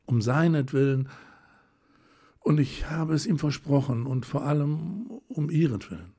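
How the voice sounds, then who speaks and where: A man voicing an ecstatic aristocratic lady, a baroness who speaks in a hurried, breathless rapture, in an audiobook reading. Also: on the high side, slightly rough, voice pushed up. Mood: fervent.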